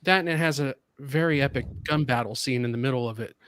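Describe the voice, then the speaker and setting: Male guest reviewer, measured and reflective, mid pitch, dry sound. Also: fairly bright tone, clean tone, dry recording. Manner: thoughtful, measured, searching for words. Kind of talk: casual discussion.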